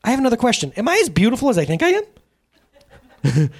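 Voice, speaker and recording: A man voicing a newly redeemed former thief, speaking in high, breathless wonder. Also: wildly swooping pitch, quick pace, darkish tone, full character voice. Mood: amazed.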